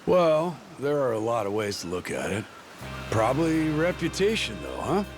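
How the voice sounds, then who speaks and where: An honourable, idealistic pirate captain, played by a man in a game cutscene. He speaks in a middle register with lively swings, folksy and frank, over background music. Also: medium pitch, moderate pace, natural voice. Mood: earnest musing. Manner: folksy, conversational. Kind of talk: video game cutscene acting.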